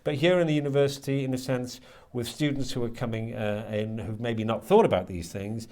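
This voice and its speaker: A man with a mid-pitched voice talks as a lecturer being interviewed. He is reflective and halting, often doubling words and circling gradually toward what he means. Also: fairly even intonation, darkish tone. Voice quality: hesitant, halting delivery with repeated words. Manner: thoughtful, circling toward his point. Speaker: university creative writing lecturer being interviewed.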